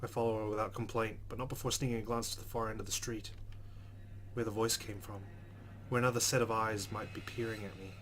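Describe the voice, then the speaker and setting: A man's voice: fairly low pitch, level tone, fast talker, fairly bright tone, slight background noise. A man reading a visual novel aloud as a let's play host, with casual asides. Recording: clear game music behind.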